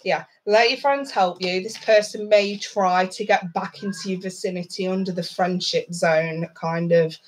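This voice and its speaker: A woman's voice: lowish voice, steady tone, moderate pace, hardly any pauses, poor audio. Blunt, stuffed-up woman reading tarot. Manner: blunt, swears freely, chatty asides. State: stuffed-up nose. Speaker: tarot reader. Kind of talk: casual tarot card reading.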